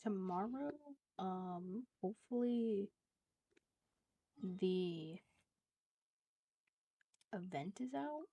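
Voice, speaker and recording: A woman's voice: medium pitch, lively intonation, very slow, creaky, long pauses, mellow, no room sound. A woman game streamer chatting casually and hesitantly, trailing off between thoughts. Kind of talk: casual livestream chat while gaming. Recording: dull lo-fi stream audio, music behind.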